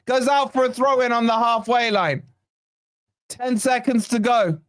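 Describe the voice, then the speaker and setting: Hoarse male fan host, high-pitched, excitable partisan live commentary, dry close mic. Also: measured pace, no echo. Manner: excitable, swearing, partisan play-by-play. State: hoarse, losing his voice. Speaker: fan channel watch-along host.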